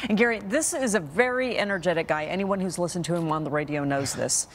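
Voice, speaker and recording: Low-pitched female news anchor. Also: fast talker, a little hiss or hum. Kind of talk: scripted news introduction. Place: TV news studio.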